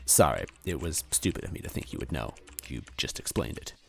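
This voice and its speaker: A man's voice: a bass voice, lively intonation, fairly quick, gravelly, pitched below the performer's own voice, full character voice. A man voicing a kind, anxious story hero looking for his brother, hesitant and earnest. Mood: apologetic.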